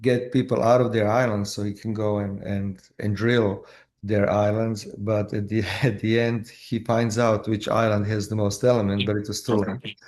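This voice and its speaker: Halting low-voiced man from Croatia. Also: level tone, a little mellow. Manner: halting, with frequent restarts and fillers. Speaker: filmmaker guest. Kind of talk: casual interview.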